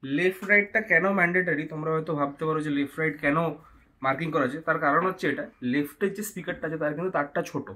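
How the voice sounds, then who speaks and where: Fast-talking man, higher-pitched. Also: bright, forceful tone. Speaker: co-presenter demonstrating installation. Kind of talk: casual explanatory tutorial.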